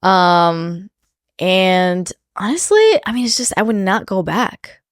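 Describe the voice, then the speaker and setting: A woman's voice: medium pitch, expressive, takes it slowly, no echo, studio quality. A woman co-hosting a podcast, sniffly from a cold, chatting casually with lots of likes and restarts. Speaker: podcast co-host. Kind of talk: casual chat.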